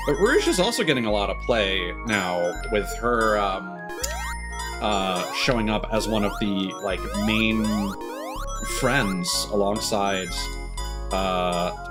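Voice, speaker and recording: Male game streamer, lower-pitched voice, casual and rambling chat to viewers, halting as he thinks aloud, prominent game music behind. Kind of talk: casual live game commentary, chatting to viewers. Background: video game music.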